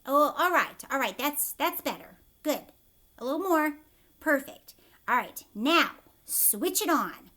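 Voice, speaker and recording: Woman voicing an excitable, high-pitched eccentric chocolate inventor. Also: measured pace, heavily acted. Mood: urgent, bossy. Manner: exclaiming, emphatic, showman-like. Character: excitable, bossy eccentric male chocolate inventor, grandly boastful. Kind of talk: children's book read-aloud.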